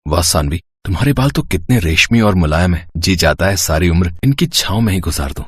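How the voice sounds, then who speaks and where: A man's voice: low-pitched, expressive, brisk, breathy edge. A man voicing a devoted, tender young husband in cartoon storybook dialogue, earnest and reassuring. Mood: admiring, tender.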